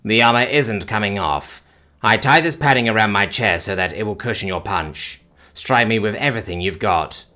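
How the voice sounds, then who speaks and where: Male narrator voicing an alien soldier, low-set, deadpan, formal. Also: normal speed. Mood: commanding. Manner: flat, formal, deadpan delivery. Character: calm armored male alien, blunt, unemotional.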